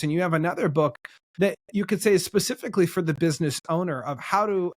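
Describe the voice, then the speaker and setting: A young man hosts the interview in a high, eager voice, piling on compliments and tripping over his words with restarts and fillers. He jokes about his own cluelessness and keeps the talk loose and friendly. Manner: effusive, self-deprecating, many restarts and "like". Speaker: podcast host. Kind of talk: enthusiastic interview chat.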